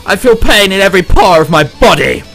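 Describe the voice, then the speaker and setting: A bright, high-voiced man plays through a visual novel on his channel. He reads the story with animated swings and drops in exclamatory, sweary jokes. Faint music plays behind him. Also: brisk, vocal fry. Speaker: let's player reading a visual novel. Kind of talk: dramatic read-aloud with joking commentary.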